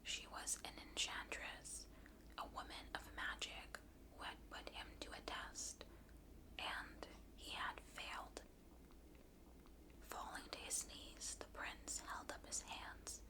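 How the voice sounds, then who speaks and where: A woman whispering a bedtime story at an unhurried pace to someone drifting off to sleep on an ASMR channel. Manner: hushed, slow, soothing whisper. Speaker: ASMR narrator reading a story aloud.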